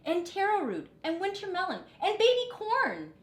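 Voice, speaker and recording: A woman puts on an eager storybook villager, high and excited. The words are exclaimed with big emphasis and swooping pitch. It sounds in an echoey room. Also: normal speed, exaggerated voice. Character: eager, excited villagers offering food for soup. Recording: echoey room, faint low rumble.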